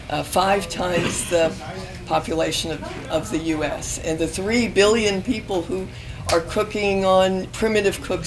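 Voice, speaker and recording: A woman in her fifties, hoarse and losing her voice, speaking on an alumni panel at a university event, with many pauses and restarts. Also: lowish voice, a little hiss or hum. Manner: hesitant, many ums and restarts. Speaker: panel speaker, magazine editor. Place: university event room with audience.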